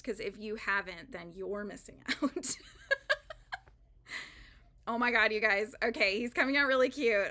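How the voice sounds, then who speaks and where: Woman host, casual live crafting chat, rambling delivery, bright tone with a gravelly edge. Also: medium pitch. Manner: chatty and rambling, with frequent fillers and laughs. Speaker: crochet livestream host.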